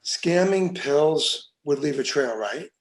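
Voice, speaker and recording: A man playing a probing, puzzled investigator in a screenplay table read, halting and questioning. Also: a little high, lively intonation. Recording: echoey room.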